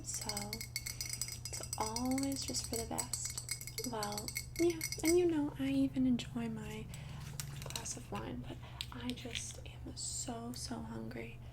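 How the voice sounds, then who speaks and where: A woman whispers an ASMR roleplay as a shy, courteous vampire. Her delivery is breathy, intimate and very slow, with gentle hesitations. A noticeable crackle of vocal fry runs through it, and there is clear noise in the recording. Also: fairly high pitch. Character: shy, polite thousand-year-old female vampire asking someone out.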